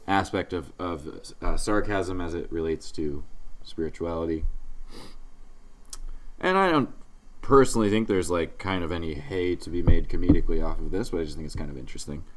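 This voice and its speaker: Male comedy podcast co-host, low register, conversational, dryly sarcastic. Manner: dry, sarcastic joking. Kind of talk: casual comedy podcast banter.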